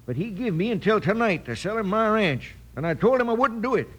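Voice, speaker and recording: High-voiced rustic western rancher. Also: male voice, sing-song, fairly quick, low-quality recording. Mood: indignant, worried. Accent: American. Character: plain-spoken, stubborn male western rancher. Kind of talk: 1950s western radio acting.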